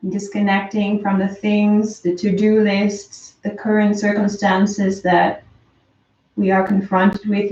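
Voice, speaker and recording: A woman slowly and softly guiding a breathing meditation, calm with long pauses. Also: medium pitch. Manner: slow, soft, calm guidance with long pauses. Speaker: meditation teacher. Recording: roomy, distant, dull lo-fi sound.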